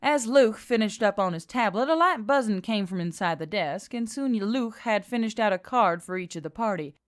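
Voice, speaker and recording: A woman's voice: medium pitch, lively intonation, moderate pace. A woman narrating a scripted fantasy story as an audiobook reader. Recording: music under the voice.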